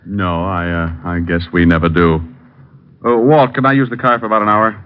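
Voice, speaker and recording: A man's voice: deep voice, little pitch movement, moderate pace, clean tone, lightly acted. An actor playing a cool, wisecracking male private detective in an old-time radio drama, with wry, clipped delivery. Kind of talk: old-time radio detective acting with first-person narration. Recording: dull old radio recording, faint music.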